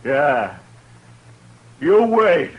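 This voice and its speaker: A man's voice: a little high, very animated, very slow, breathy edge, highs cut off, heavily acted. An actor playing an angry, vengeful frontier townsman in a 1950s radio drama, accusing and threatening.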